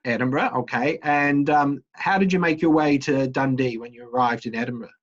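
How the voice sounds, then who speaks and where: A middle-aged man, an associate dean, interviewing a student in a relaxed way with many ums and uhs. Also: medium pitch, moderate pace, dull audio.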